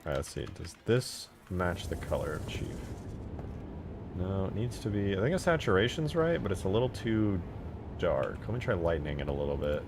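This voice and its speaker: A man's voice: low voice, big pitch swings, moderate pace, gravelly, noisy background. A man live streaming while he works, casually thinking aloud and muttering questions to himself. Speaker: game modding live streamer.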